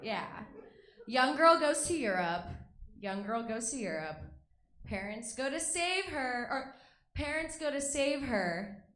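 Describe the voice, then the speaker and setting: Young woman doing stand-up in a club, high bright voice, giggly and self-deprecating, echoey room. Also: expressive, slow. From Russia. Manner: bubbly, self-mocking, laughs between jokes. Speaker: stand-up comedian. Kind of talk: stand-up comedy set. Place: comedy club stage.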